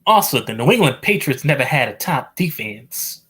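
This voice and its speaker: Man from texas, casual stream chat, rambling and joking, swears a lot, mid-pitched voice. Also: very animated, normal speed, fairly bright tone, husky.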